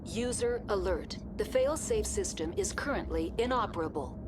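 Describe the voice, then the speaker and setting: A woman playing a calm, procedural computer intelligence in a video game, speaking in formal, emotionless announcements. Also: mid-range voice, moderate pace, background noise, close to an everyday voice. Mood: impassive. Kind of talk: scripted video game voice acting.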